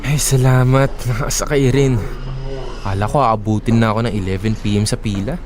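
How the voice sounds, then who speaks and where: A man with a Filipino accent playing a smooth-talking, persistent young ex-boyfriend in an audio drama. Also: medium pitch, steady tone, moderate pace, background noise, natural voice. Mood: earnest, pleading.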